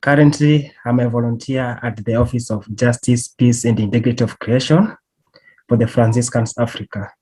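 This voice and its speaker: A man's voice: mid-range voice, steady tone, moderate pace, a little mellow, slightly rough, poor audio. A young man, a student, steadily introducing himself on an online meeting. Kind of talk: brief self-introduction.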